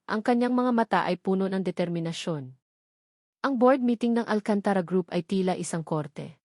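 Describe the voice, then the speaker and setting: A woman's voice: mid-range voice, darkish tone, dry recording, clean studio sound. A woman reading a scripted dramatic story as its narrator.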